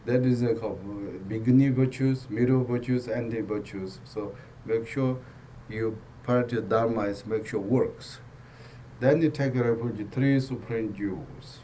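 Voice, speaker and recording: Tibetan-accented man teaching in halting English. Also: medium pitch, steady tone, slight background noise. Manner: broken English, repetitive, gently joking. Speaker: Buddhist teacher. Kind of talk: dharma teaching talk.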